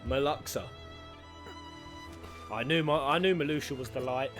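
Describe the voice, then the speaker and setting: A man giving a casual, excitable reaction commentary on game cutscenes, slangy and sweary, as a streamer. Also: medium pitch, normal speed, faint music behind.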